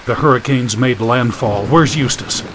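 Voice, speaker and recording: A man's voice: medium pitch, normal speed, noisy background, lightly acted. A man voicing an irritable, cynical, foul-mouthed man who speaks bluntly and snappishly. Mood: irritated.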